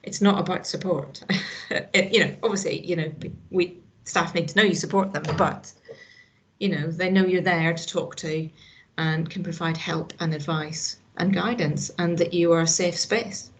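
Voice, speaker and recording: Woman, Northern Irish accent, middle-aged, earnest, casual conversation, low-pitched. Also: fairly even intonation, moderate pace, slight background noise. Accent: Northern Irish, slight Scottish. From Northern Ireland. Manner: earnest, frequent "you know" and restarts. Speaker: hospital staff guest.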